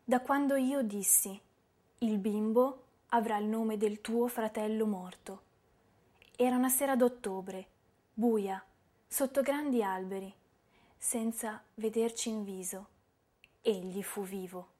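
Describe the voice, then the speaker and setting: A sad tender young woman poet, soft dark tone, bit high, measured intimate poetry reading. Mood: solemn. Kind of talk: dramatic poetry recitation.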